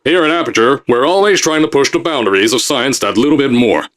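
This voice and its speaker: A man's voice: mid-range voice, brisk, natural voice. An actor playing a brash, blustering male company boss recording messages for test subjects in a game. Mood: ranting. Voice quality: loud, boastful salesman bluster. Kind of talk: pre-recorded announcement messages.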